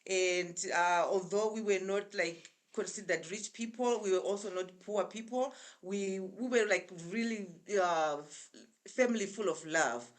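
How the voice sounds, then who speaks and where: A Zimbabwean woman in her sixties, a guest on a remote call, telling her life story in an unhurried, chatty way with frequent pauses. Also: mid-range voice. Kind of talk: casual storytelling interview.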